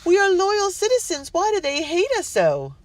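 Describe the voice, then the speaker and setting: A narrator voicing a frightened colonial housewife who pleads with her husband, her voice climbing to a shrill pitch. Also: a little slow. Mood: panicked. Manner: pleading, rising to shrill cries.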